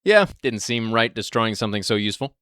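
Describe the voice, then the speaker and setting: A vault security officer in an audio drama, calm and matter-of-fact as he weighs up the volunteers. The recording is close and completely dry, with no room sound. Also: on the low side, fast, smooth, no room sound.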